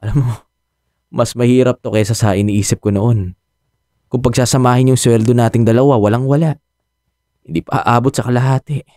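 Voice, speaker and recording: A man's voice: medium pitch, steady tone, brisk, pauses often, mellow, no echo, studio quality, lightly acted. A man with a Filipino accent playing an anxious young expectant father in a radio drama. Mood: worried. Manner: sighing, hesitant, earnest.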